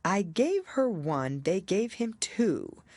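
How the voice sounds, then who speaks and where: Woman playing a pompous, lively storybook king. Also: mid-range voice, slow, no echo, studio quality, voice pushed up, exaggerated voice. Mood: triumphant. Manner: pompous, emphatic. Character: pompous, muddled, self-satisfied storybook king acting as judge.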